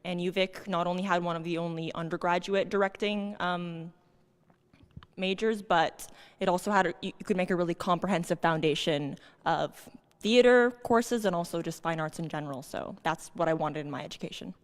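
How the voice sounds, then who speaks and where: Young woman with a lowish voice. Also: moderate pace, clear, resonant tone. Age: young adult. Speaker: student panelist. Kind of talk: casual unscripted panel answer.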